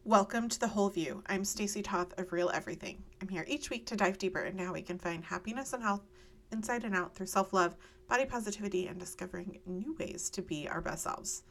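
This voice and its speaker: A woman's voice: medium pitch, brisk, a bit bright. A woman hosting a podcast, delivering a scripted introduction to listeners. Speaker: podcast host.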